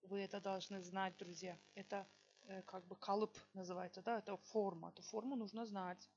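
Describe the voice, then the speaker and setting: A woman teaching a language lesson, slowly dictating example sentences word by word and checking in with her students. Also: medium pitch, flat delivery, a little mellow, muffled recording, slight background noise. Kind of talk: online language lesson, explaining exercises.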